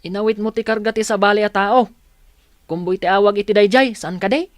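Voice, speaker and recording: A woman's voice: mid-range voice, fairly even intonation, a little fast, dry recording, close to an everyday voice. A woman voicing a small, blunt, nosy village child in a radio comedy-drama, with an Ilocano accent. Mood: nosy, teasing.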